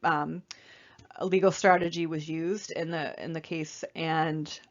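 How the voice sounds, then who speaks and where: A young woman with a slightly raspy voice while getting over an illness, giving a casual, conversational slideshow presentation with many fillers. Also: low voice, steady tone, slow, lo-fi sound. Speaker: student presenter.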